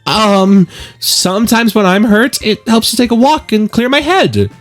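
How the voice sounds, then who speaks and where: A man voicing a timid, gentle teenage girl with a hesitant manner, a peacemaker in a visual novel game. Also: high voice, very animated, measured pace, faint music behind, pitched above the performer's own voice.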